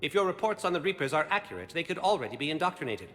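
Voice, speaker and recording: A man's voice: fairly high pitch, sing-song, racing, fairly bright tone. A man voicing an intense, confident alien special agent in a video game, clipped and formal in delivery. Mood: urgent, formal. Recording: long echoing reverb, background noise.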